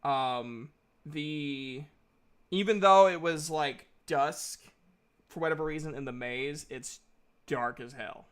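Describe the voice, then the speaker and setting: A man improvising narration as game master of an online tabletop game, with halting, hesitant phrasing. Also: fairly high pitch, a bit bright, smooth.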